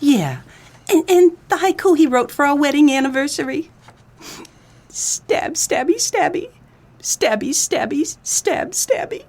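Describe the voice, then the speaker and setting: A woman's voice: high voice, sing-song, a little slow, darkish tone, slight background noise, heavily acted. A woman playing a brassy, sassy, breezily menacing dame in a comic noir radio play. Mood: dreamy admiration.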